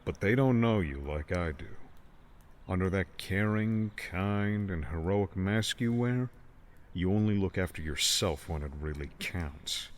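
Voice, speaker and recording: Menacing male betrayer, acted by a man: lowish pitch, haunting edge, mocking and accusatory storybook villainy. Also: animated, unhurried. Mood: bitter taunting. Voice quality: haunting, piercing. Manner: taunting, accusatory. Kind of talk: audiobook character dialogue.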